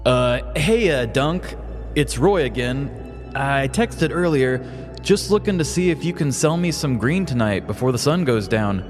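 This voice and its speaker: A man voicing a gruff fifty-something stoner factory worker with a laid-back drawl. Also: medium pitch, animated, a little slow, soft background music, pitched above the performer's own voice, natural voice. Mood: hesitant.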